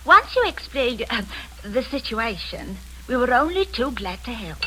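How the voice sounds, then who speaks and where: An actress playing an obliging, gossipy tea-shop keeper, in 1940s radio-drama style. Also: female voice, on the high side, big pitch swings, moderate pace, bright, forceful tone, a little hiss or hum, rough recording.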